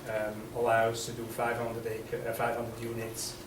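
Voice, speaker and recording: A man's voice: mid-range voice, completely flat delivery, normal speed. A man with a Swiss accent, a developer presenting to a city workshop, hesitant with many ums and restarts. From Switzerland. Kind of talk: presentation at a public meeting. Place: council chamber. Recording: echoey meeting room from far off, noisy.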